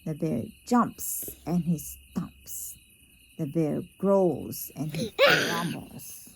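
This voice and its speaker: A woman's voice: low-pitched, wildly swooping pitch, very slow, vocal fry, slight background noise. A woman reading a children's picture book aloud expressively, drawing out key words in a sing-song way. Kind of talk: expressive storybook read-aloud for young children.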